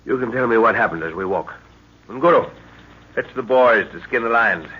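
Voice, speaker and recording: A man's voice: medium pitch, moderate pace, breathy edge, close to an everyday voice. An actor playing an older, fatherly professor leading an expedition, musing and avuncular, in an old-time radio drama. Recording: dull, noisy vintage radio recording.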